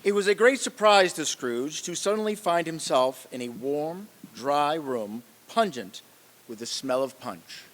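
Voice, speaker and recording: Man as a higher-voiced storybook narrator. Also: lively intonation, measured pace, a bit bright. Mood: measured. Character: measured storybook narrator of a ghost tale. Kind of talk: scripted narration, radio reading. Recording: reverberant hall, faint hiss.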